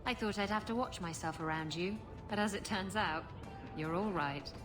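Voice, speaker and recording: A woman acts a brash female pirate captain in a fantasy game. She is flirty, cheeky and playfully teasing, with a middling pitch, and music plays clearly behind her. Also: moderate pace, close to an everyday voice. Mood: teasing, relaxed. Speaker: video game voice actor. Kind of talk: bantering game dialogue.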